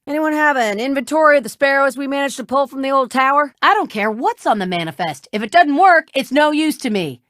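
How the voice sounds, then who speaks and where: A woman plays a hardworking female shipwright from a video game, wry and brash. Her mid-pitched voice has a folksy, casual bossiness, teasing as much as ordering. The sound is close and dry. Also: no room sound, lightly acted. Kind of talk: video game character dialogue.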